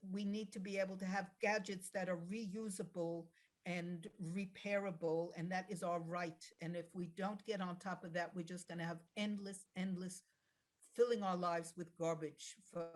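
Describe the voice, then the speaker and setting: A woman's voice: fairly low pitch, steady tone, moderate pace. A woman speaking as an election candidate, answering forum questions in an emphatic, blunt way. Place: online video call.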